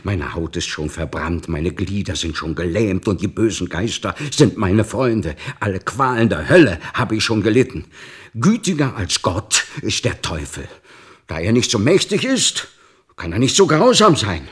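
An old man broken by grief and defiant in his bitterness. His voice is low and husky, with a rough edge. The delivery is brooding and anguished, in the manner of a serious radio drama. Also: sing-song, normal speed. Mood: bitter defiance. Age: older. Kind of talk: dramatic radio play acting.

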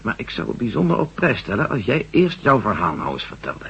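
Husky, bluff army general. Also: male voice, fairly low pitch, huge pitch swings, brisk, fairly bright tone, heavily acted. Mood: confiding, jovial. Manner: bluff, hearty, colloquial. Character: gruff, jovial army general, old buddy of the hero. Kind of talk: Dutch radio drama acting. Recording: dull, muffled old radio recording.

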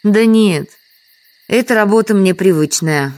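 A woman's voice: medium pitch, unhurried, a little mellow, no room sound, natural voice. Actress as a hesitant village woman, close and dry. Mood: evasive, polite. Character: evasive, deferential village woman in a sand pit. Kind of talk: audio drama acting.

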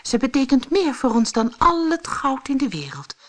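Storybook dwarf, female reader: small, high-pitched, protective, wary, insistent, emphatic. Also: sing-song, moderate pace. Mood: firm refusal. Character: band of protective, wary little male dwarfs.

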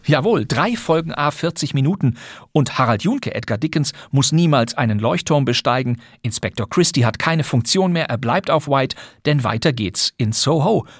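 A man's voice: medium pitch, expressive, normal speed, dry recording, clean studio sound. A man hosting a podcast, presenting classic radio plays from a script in a chatty, wry way. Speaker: podcast host.

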